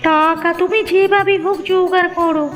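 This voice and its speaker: A woman's voice: very high voice, steady tone, moderate pace, faint music behind. A woman voicing a demanding, showy village wife in a Bengali cartoon. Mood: pleased, demanding. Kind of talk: Bengali moral-story cartoon dubbing.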